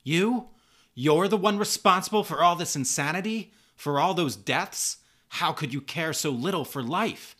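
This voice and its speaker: A man's voice: a little high, animated, measured pace, pushed and bright, pitched above the performer's own voice, full character voice. A man voicing a brave young superheroine in a comic-book story, in an impassioned, theatrical way. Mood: outraged, grieving.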